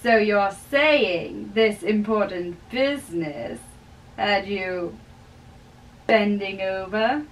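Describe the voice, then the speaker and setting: A young woman giving an amateur dramatic reading of a play, halting and stumbling over words, recorded by a distant camera in a bedroom. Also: mid-range voice, expressive. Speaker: amateur reader. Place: small bedroom, indoors. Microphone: far camera. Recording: distant microphone in a room.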